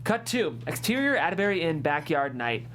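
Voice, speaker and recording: A woman's voice: deep voice, big pitch swings, normal speed, slight background noise. A woman reading a screenplay's scene directions as scripted narration at a table read. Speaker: stage-direction reader.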